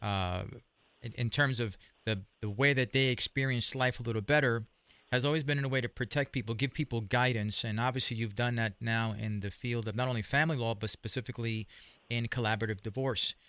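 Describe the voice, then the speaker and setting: A man's voice: mid-range voice, steady tone, fairly quick, fairly bright tone, dry recording. A man hosting an interview show, asking long, hesitant questions full of restarts. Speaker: show host.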